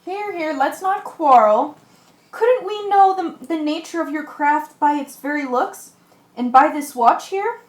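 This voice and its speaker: Radio play: commanding, practical castaway sailor, played by a woman, earnest amateur read, high register, roomy sound. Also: animated, measured pace. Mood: calm, amazed. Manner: earnest, stumbles over scripted lines. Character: level-headed shipwrecked sailor, commanding and practical. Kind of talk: amateur radio drama acting. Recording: echoey room recording.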